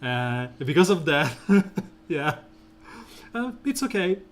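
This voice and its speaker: A man streaming a mobile game, talking through team strategy in a relaxed, rambling way with lots of fillers and a soft, smooth voice. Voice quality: soft, smooth and deep when slow. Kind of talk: casual gameplay commentary.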